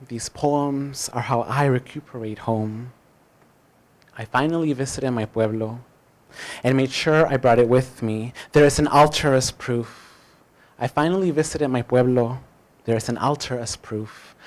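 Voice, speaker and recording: A man reciting spoken-word poetry as a poet, with deliberate, emphatic phrasing and dramatic pauses. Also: medium pitch.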